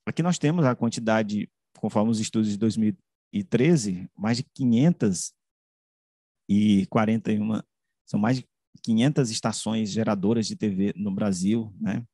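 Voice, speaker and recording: A man's voice: fairly low pitch, mellow, no room sound, studio quality. A middle-aged man gives a conversational academic presentation over a video call, often tagging his phrases with little checking questions. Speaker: researcher and author giving a presentation. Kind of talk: academic slide presentation, explanatory talk. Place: indoor room, on a video call. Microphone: computer mic on a video call.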